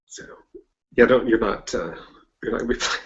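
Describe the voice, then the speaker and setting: A man's voice: on the low side, fairly quick, gravelly, dull audio. A man hosting a casual interview, speaking in an informal, agreeable and somewhat hesitant way. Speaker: podcast interviewer.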